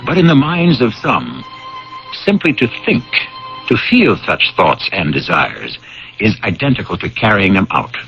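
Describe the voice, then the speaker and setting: A man hosting a radio mystery series, delivering ominous scripted narration in a grave, theatrical, measured manner. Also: sits low, vocal fry, a bit bright. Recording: dull vintage radio broadcast, music behind.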